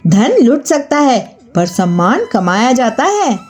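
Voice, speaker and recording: Woman voicing a calm, humble village wise man, unhurried. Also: fairly high pitch, exaggerated voice. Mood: calm, assured.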